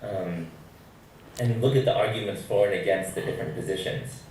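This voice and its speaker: A man with a fairly low voice asks tentative, carefully worded questions as a student. His phrasing is hesitant and roundabout, and he sounds far from the mic in a very echoey room. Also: moderate pace, a little mellow. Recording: echoey, distant, faintly noisy microphone.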